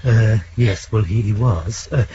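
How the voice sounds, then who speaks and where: A male actor plays a nervous man hiding something. His voice is lowish, hesitant and stammering, in 1970s radio drama style. The broadcast is muffled with faint noise. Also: a little slow, warm tone, natural voice. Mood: nervous, evasive. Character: nervous, evasive man questioned at his door.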